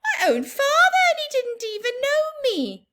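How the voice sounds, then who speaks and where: Woman putting on storybook little girl, very high, eager, bold, animated exclamations. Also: moderate pace, exaggerated voice. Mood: indignant.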